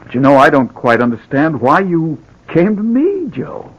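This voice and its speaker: A man's voice: a little high, sing-song, normal speed, lo-fi sound, a little hiss or hum. An actor playing an evasive, condescending rich businessman in an old-time radio drama, hedging politely. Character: evasive, smooth-talking rich businessman, a false friend.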